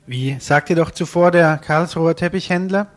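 A man with a fairly high voice hosts an informal radio interview. His phrasing is somewhat halting, and the recording carries slight noise.

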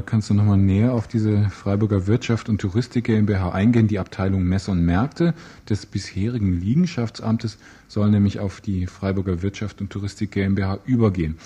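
A man's voice: lowish voice, steady tone, normal speed, few pauses, warm tone, slightly rough. A man presenting an informal local news interview, phrasing his questions loosely and with some hesitation.

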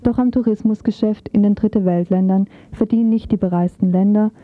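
Lady reading a radio report off a script, mid pitch, sounds like a phone line, no room at all. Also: steady tone, fairly quick, no echo. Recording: muffled, phone-like narrow band.

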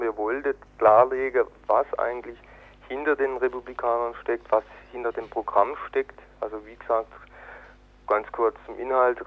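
A man speaking haltingly over the telephone as an activist being interviewed. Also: mid-range voice. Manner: halting, broken phrasing. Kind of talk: spontaneous phone interview. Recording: tinny band-limited phone line.

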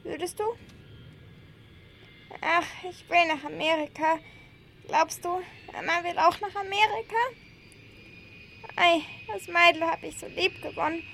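A woman's voice: very high voice, steady tone, unhurried, gravelly, pauses often, fairly bright tone, heavily acted. A woman playing a lonely, eccentric old grandmother in a radio play, muttering wistfully to herself. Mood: wistful, odd. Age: older. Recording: faint noise, vehicle ambience.

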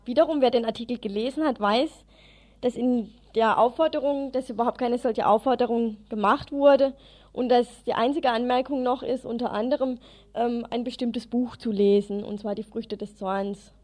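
Female community radio news presenter, slightly high voice, part scripted and part off the cuff. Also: moderate pace, a little mellow.

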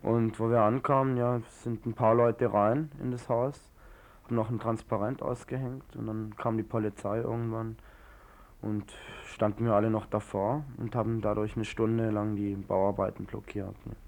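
A man's voice: on the low side, level tone, normal speed, a little mellow. A young man, an activist being interviewed, giving a casual, informal account. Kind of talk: casual spoken eyewitness account.

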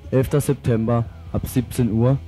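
Very dark-voiced man over guitar music. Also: medium pitch, steady tone, moderate pace. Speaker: announcer for an activist group. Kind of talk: scripted radio event announcement.

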